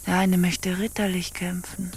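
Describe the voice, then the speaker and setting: Radio drama: capricious female pirate captain, low creaky voice, scornful, commanding, theatrical. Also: completely flat delivery, measured pace, darkish tone, a little hiss or hum. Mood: brooding, dreamy. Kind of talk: stage-like radio play acting.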